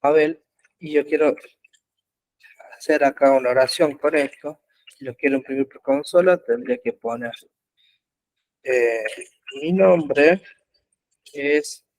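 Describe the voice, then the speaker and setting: A man teaching an online web development class, explaining code slowly with frequent pauses and fillers. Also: fairly high pitch, darkish tone, dry recording, poor audio. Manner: slow, halting explanation with many pauses and fillers. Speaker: programming instructor. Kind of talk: live online coding class. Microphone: computer mic in an online class.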